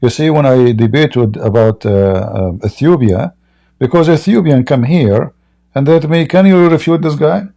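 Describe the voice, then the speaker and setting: A man's voice: medium pitch, moderate pace, gravelly, mellow. A man hosting a live online debate stream, answering a caller in a direct, emphatic way. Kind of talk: live-stream call-in reply.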